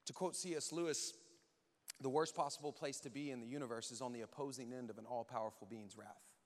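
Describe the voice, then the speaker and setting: A man's voice: medium pitch, fairly even intonation, fast talker. A man preaching a contemporary, conversational sermon as a pastor, speaking emphatically and informally with rhetorical questions and repetition. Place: large church hall, speaking to an audience. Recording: echoey hall with long reverb.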